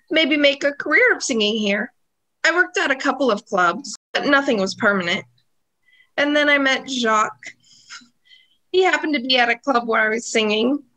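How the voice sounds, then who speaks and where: A woman's voice: sits high, pushed and bright, poor audio. A woman playing an eager American club singer in an amateur radio mystery, earnest, polite and a little gushing.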